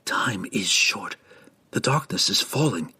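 A man voicing an intense, protective dark elf ranger in a fantasy audiobook, insistent and coaxing. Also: animated, a little slow, breathy edge, in a whisper, full character voice. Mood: urgent. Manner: low, insistent, coaxing delivery.

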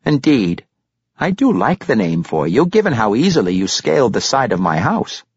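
Man playing a courtly old grandfather, swooping pitch. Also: sing-song, normal speed, darkish tone, slightly rough, no room sound, lo-fi sound. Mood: amused, courtly. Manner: courtly, theatrical, amused, flowery phrasing. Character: jovial, shrewd, courtly older crime-boss grandfather.

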